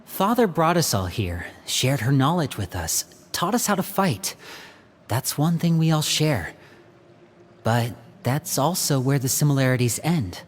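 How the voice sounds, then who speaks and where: An actor playing a composed, loyal young man in a video game, speaking in a measured, explanatory manner. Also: medium pitch, sing-song. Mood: serious, measured.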